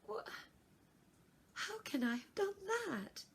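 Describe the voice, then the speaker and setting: A woman's voice: high voice, sing-song, slow pace, vocal fry, slight background noise, voice pushed up, exaggerated voice. A woman voicing a fretful, puzzled young girl in a storybook, with a plaintive, dramatic manner. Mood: dismayed. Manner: plaintive, dramatic, self-questioning.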